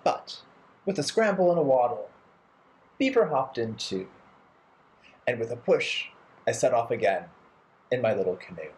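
A man in his thirties narrating a children's storytime playfully and theatrically, with deliberate phrasing. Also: mid-range voice, darkish tone, low-quality recording. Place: bright living room indoors.

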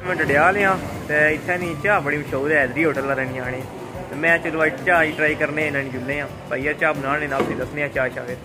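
A man's voice: a little high, fast talker, rough recording. A young man from Azad Kashmir, chatting casually and questioning a cook at a roadside hotel. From Azad Kashmir, Pakistan. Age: young adult. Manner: chatty, joking, asks many questions. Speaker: vlogger. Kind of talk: casual travel vlog chat. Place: streets, then a small roadside hotel kitchen. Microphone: handheld camera at arm's length. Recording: clear background music.

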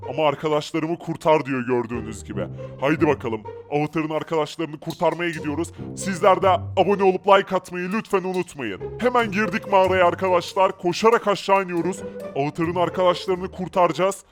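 A man's voice: fairly high pitch, steady tone, fairly quick. A man narrating gameplay energetically, addressing viewers and urging them on. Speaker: gaming video creator. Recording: loud music behind the voice. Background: clear background music.

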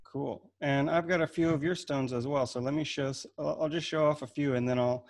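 A man's voice: a little high, a little fast. A man in his thirties moderating an online panel on a video call from a room at home, talking in a loose, easygoing way with frequent restarts. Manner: relaxed, repeats words and restarts often. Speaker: webinar host and moderator. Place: home room with shelves of gems.

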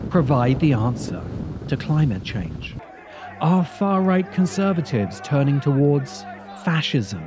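A man narrating a scripted video essay in a measured manner, pausing for emphasis. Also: medium pitch. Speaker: host and narrator. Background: music.

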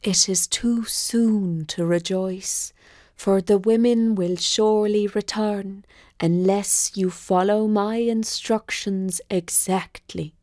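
Woman as a gentle, breathy well spirit. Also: medium pitch, fairly even intonation, no echo, studio quality, natural voice. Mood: solemn warning. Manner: slow, careful instructing. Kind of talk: children's storybook reading.

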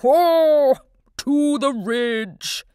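A huge tusky storybook walrus, proud and male, acted out by a woman. He proclaims in a loud, drawn-out bellow with great pomp. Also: high-pitched, no room sound, studio quality, voice pushed up. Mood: bellowing, grand.

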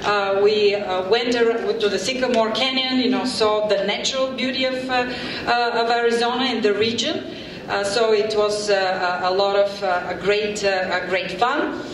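A middle-aged woman from Bulgaria with a Bulgarian accent, presenting to a community meeting in a halting way with many filler pauses. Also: medium pitch, fairly even intonation. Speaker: urban planner presenting a workshop. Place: large event room with audience. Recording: echoey hall from far off, crowd murmur, background noise.

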